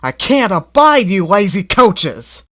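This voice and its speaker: A man's voice: fairly high pitch, big pitch swings, moderate pace, bright, forceful tone, heavily acted. A man voicing a stern old tram engine who scolds stubborn coaches. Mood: scolding.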